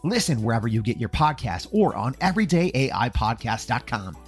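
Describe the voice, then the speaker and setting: A man delivers a scripted promo in a lively, varied mid-pitched voice. His delivery is punchy and motivational, like a coach selling his show. Music plays behind him. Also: a little fast. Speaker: podcast host and coach.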